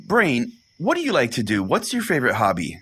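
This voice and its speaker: A man's voice: mid-range voice, huge pitch swings, fairly quick, a bit bright, husky, full character voice. A man playing an earnest, smug pastor who hosts a comedic radio show, with a theatrical matter-of-fact delivery. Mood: smug debating. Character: earnest, easily scandalized male pastor hosting a radio show. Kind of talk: comedic mock talk radio hosting.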